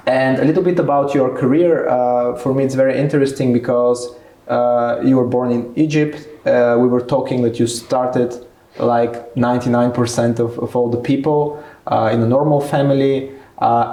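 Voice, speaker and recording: A man interviewing conversationally as a podcast host, speaking in a measured, reflective way. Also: mid-range voice, mellow. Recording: roomy, echoing room sound.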